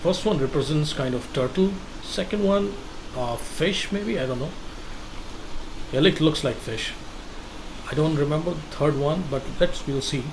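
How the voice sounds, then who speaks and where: Guy talking through a puzzle game, halting, noise humming behind. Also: on the high side. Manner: halting, thinking aloud, many restarts. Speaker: gameplay commentator. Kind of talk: casual gameplay commentary.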